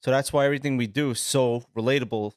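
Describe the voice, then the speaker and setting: A man, co-hosting a casual podcast and telling rambling, animated stories with a lot of swearing. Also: mid-range voice, fast, clear, resonant tone, dry recording, studio quality. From Sicily. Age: 30s. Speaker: podcast co-host. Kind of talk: casual chat.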